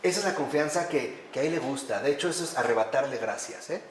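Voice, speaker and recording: Male lecturer, animated, asks the audience rhetorical questions, echoey room with faint background hum. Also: mid-range voice, fast talker. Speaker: priest teaching a class. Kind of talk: conversational lecture on faith.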